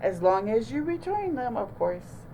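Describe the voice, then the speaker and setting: Measured, stern but kindly storybook librarian played by a woman. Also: a little high, big pitch swings, warm tone, slight background noise, pitched above the performer's own voice, low-quality recording. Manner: measured, authoritative.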